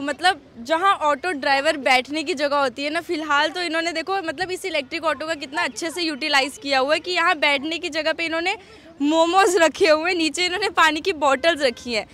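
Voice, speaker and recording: A woman's voice: high-pitched, pushed and bright. A woman, presenting and interviewing in a chatty, enthusiastic way and mixing in English words. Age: 20s. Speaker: host and interviewer. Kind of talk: presenter piece to camera and street food interview. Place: outdoors by a roadside food cart. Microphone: handheld microphone. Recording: outdoor street noise with traffic.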